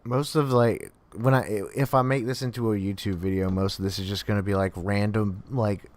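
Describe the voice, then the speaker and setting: A man's voice: fairly low pitch, fairly even intonation, moderate pace. Rambling gamer man in his thirties. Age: 30s. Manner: rambling, swears and reacts loudly to the game. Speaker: streamer playing with friends. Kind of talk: casual gaming banter.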